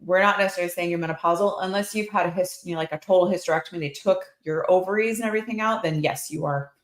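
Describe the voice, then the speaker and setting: A woman's voice: fairly low pitch, fast talker. A woman in her forties with an American accent, chatting informally and expressively to livestream viewers as a nurse practitioner hosting a Q&A. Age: 40s. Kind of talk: casual livestream Q&A chat. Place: indoor clinic room under construction. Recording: echoey, roomy livestream sound.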